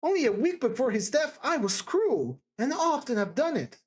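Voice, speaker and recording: A woman narrator performs a timid young woman in deep distress from a classic storybook. Her voice rises high and swings widely as she pleads with fervent compassion. She stammers and repeats herself emphatically. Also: sing-song, breathy edge, heavily acted. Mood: desperate, pleading. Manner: halting, stammering, breathless repetitions and emphatic exclamations. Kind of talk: dramatised audiobook character dialogue.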